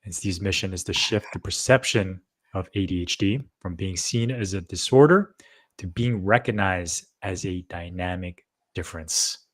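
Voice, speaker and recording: A man's voice: low voice, normal speed. A man co-hosting a casual live-streamed talk, loose and rambling, with many fillers as he introduces and checks in. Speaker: co-host.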